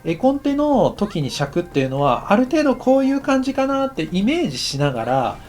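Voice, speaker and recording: Man teaching casually, lively swinging intonation. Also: very high voice, a little fast, soft background music. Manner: conversational, counts aloud while working. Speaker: animation director teaching. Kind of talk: casual instructional lesson.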